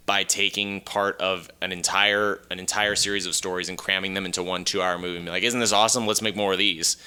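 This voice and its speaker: A man with a fairly low voice, bright and very clear. He talks as a podcast host in casual discussion, stating opinions with emphasis. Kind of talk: casual film and video game discussion.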